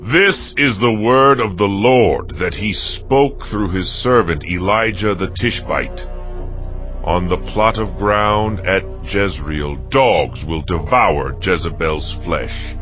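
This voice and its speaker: A man's voice: low voice, slow. An actor playing a fierce, commanding ancient warrior king with a stern, declamatory delivery in a dramatized scripture audio drama. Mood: grim, solemn. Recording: dull muffled audio under music.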